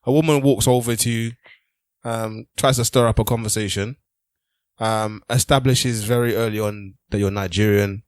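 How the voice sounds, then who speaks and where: A man's voice: on the low side, fairly even intonation, moderate pace, clean tone, no echo. Man hosting, close dry sound. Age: adult, parent of a daughter. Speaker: podcast host. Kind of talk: casual group podcast chat.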